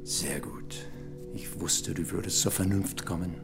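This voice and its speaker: Leiser, herablassender Strippenzieher. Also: male voice, sits low, fairly even intonation, a little slow, vocal fry, music in the background. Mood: quietly smug. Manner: soft, condescending, slips in English phrases. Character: smooth, manipulative male handler addressing an old acquaintance. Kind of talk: German radio crime drama acting.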